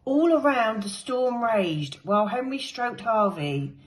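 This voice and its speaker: A woman's voice: fairly high pitch, expressive, measured pace, poor audio. A woman teacher reading a picture book aloud to young children, telling the story expressively and dramatically. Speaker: infant school teacher.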